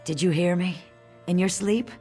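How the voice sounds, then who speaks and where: A woman acts as a devout, loyal ally in a fantasy game. The voice is quite low for a woman, earnest and concerned, with faint music behind it. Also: normal speed, close to an everyday voice. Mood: concerned, relieved.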